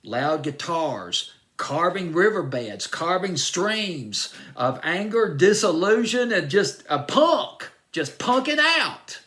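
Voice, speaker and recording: A man's voice: high voice, very animated, bright, forceful tone. A man with a Southern US accent hosting a music countdown show, talking about a song in a rambling, enthusiastic way. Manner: rambling and enthusiastic, with restarts and drawn-out phrases. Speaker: radio music show host. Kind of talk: chatty countdown commentary.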